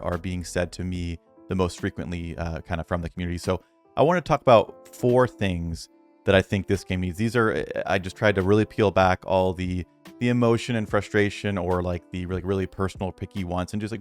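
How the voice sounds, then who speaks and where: A man who makes videos about a mobile strategy game, talking casually and earnestly to his viewers, rambling with many restarts and fillers. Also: low-pitched.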